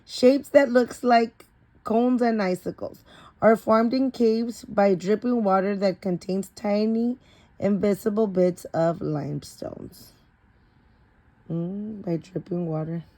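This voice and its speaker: A woman's voice: medium pitch, takes it slowly, mellow. A woman reading a children's science storybook aloud, stopping now and then to repeat or correct words. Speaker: reader of a children's picture book.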